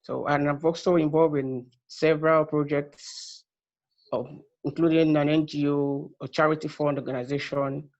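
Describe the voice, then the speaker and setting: A man from Nigeria introducing himself haltingly as a prospective graduate student on a video call. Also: a little high, little pitch movement, darkish tone. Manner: hesitant, halting with many fillers. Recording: muffled video call audio.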